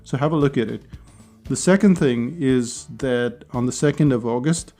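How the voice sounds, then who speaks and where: A man hosting a casual solo podcast, chatty and persuasive, with his voice hoarse and going. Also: mid-range voice, normal speed, mellow, faint music behind. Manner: chatty, persuasive, with small laughs. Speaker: podcast host.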